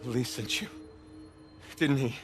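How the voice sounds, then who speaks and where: A captive finance executive in a game, scared yet defiant. His mid-pitched voice is agitated and bitter, over faint music. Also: slow pace, a bit bright. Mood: startled, defiant. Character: frightened, defiant male corporate finance executive held captive. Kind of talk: video game voice acting.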